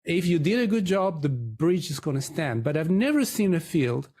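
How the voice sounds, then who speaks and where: Middle-aged man, slightly high pitch, soft mellow tone, conversational podcast guest. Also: sing-song, normal speed. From Chile. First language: Spanish. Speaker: podcast guest, mortgage broker. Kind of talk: conversational interview storytelling.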